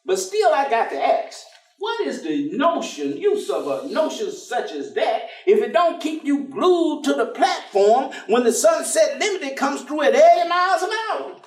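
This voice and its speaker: A streetwise man onstage, super expressive, teasing and folksy, far off in an echoey theatre. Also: very high-pitched, normal speed. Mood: thoughtful. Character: folksy, probing, streetwise man, patient and wry. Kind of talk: staged play reading. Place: theatre stage. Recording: distant, echoey theatre stage recording.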